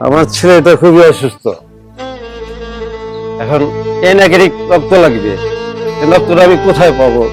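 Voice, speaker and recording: Loud music runs behind a man acting a desperate father in a short drama. He, and speaks in a pleading, distressed manner. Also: on the high side, normal speed, long pauses, natural voice. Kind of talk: amateur short drama dialogue. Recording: loud background music over dialogue.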